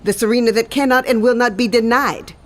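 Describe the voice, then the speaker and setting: An actress playing a commanding, emotional ringleader of women sports fans in an audio drama. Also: female voice, fairly high pitch, fairly even intonation, brisk, natural voice. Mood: bossy, solemn. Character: bossy, intense ringleader of devoted female sports fans. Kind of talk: naturalistic audio drama acting.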